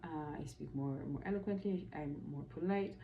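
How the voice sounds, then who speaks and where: A woman's voice: sits low, normal speed, creaky, phone-quality sound, a little hiss or hum. A woman from the Caribbean giving a casual spoken tarot reading, emphatic and chatty, repeating affirmations with playful asides. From Caribbean, Dominican. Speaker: tarot reader.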